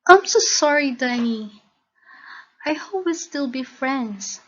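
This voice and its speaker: A storyteller plays a gentle young cartoon woman. Her voice is very high, tender and hesitant, on a slightly dull lo-fi recording. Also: expressive, slow pace, full character voice. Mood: hesitant, apologetic. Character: gentle, apologetic young woman, reluctant object of affection.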